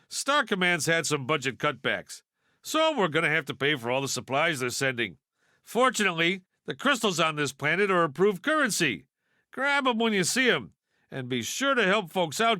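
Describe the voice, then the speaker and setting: A man's voice: fairly high pitch, big pitch swings, normal speed, bright, forceful tone, no echo, clean studio sound. A man voicing a wisecracking toy piggy bank in a video game, a brash know-it-all with a sardonic, folksy delivery. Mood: explanatory, wisecracking. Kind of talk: video game character voice lines.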